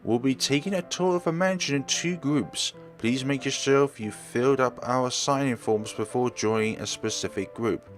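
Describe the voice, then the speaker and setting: A man's voice: medium pitch, normal speed, few pauses, soft background music, voice pushed up, lightly acted. A man voicing a polite young woman estate agent who addresses guests formally in a visual novel. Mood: formal, welcoming. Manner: formal, announcing. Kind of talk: acted visual novel dialogue.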